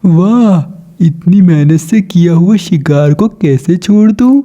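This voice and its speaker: A man's voice: high-pitched, slow, warm tone, lo-fi sound. A man playing a wicked, gloating crow who menaces little birds in a children's cartoon. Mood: gloating, menacing. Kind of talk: Hindi cartoon voice acting.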